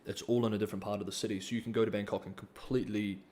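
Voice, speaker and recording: A man's voice: lowish voice, level tone, very fast, a little mellow. A man, hosting a casual livestream Q&A and reading donor comments, hesitant, with many ums and restarts. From New Zealand. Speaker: livestream host.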